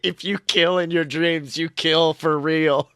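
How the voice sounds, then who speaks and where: A woman co-hosting a podcast, bantering casually about a TV show with excitable, dramatic emphasis. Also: sits low, a little slow, no echo. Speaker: podcast co-host.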